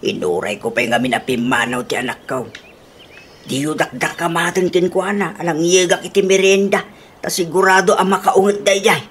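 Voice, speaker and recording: A woman's voice: lowish voice, moderate pace, a little hiss or hum, close to an everyday voice. A woman voicing an apologetic, henpecked older man who grumbles resignedly in a radio comedy drama. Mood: resigned, complaining.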